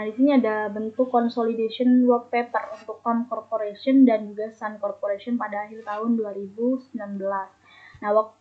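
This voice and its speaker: A young adult woman presents as a student, explaining slides step by step and stumbling a little over figures. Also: a little high. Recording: muffled, phone-like narrow band.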